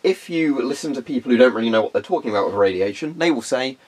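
A man's voice: medium pitch, animated, fast talker, a little mellow, husky. Phlegmy young man demoing gear. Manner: chatty, rambling, frequent ums. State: congested, phlegmy from a cold. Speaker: hobbyist video host. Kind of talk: casual hands-on demonstration. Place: carpeted room indoors.

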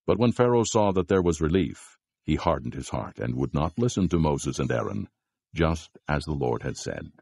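A man giving solemn, measured scripted narration for a dramatized scripture reading. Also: a bass voice, lively intonation, creaky, a little mellow, husky, no room sound.

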